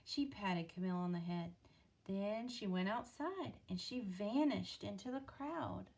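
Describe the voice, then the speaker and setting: A woman's voice: medium pitch, expressive, measured pace, a little mellow. A woman teacher reading a picture book aloud expressively, talking simply as if to a young child. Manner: explains and asks in simple child-directed words.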